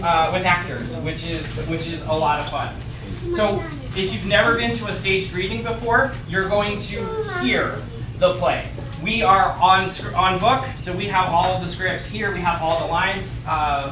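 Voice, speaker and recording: Man talking from afar in echoey theater. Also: high-pitched. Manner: conversational, hesitant with frequent fillers. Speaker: theater director and workshop teacher. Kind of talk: informal live talk to an audience. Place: small indoor theater stage. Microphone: distant camera microphone. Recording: echoey theater, far from mic, noisy.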